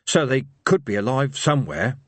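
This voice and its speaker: Storybook role: male reader as hoarse little dark lord, gruff but soft-hearted, lively intonation. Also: mid-range voice, moderate pace, no echo. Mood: gruff, moved. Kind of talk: audiobook character voice.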